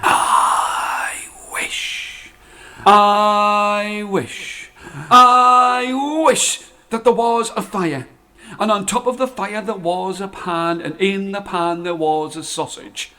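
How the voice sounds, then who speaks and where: A man voicing a cross, scheming old wife from a folktale, scolding sharply and hushing to a whisper when she makes her wishes. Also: high voice, normal speed, few pauses, close to an everyday voice. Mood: wishful. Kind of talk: storybook character voice.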